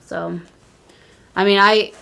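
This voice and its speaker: A woman's voice: medium pitch, fairly even intonation, slow, gravelly, sharp tone. A woman co-hosting a podcast, speaking in casual conversation. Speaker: podcast co-host. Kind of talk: casual wrestling discussion.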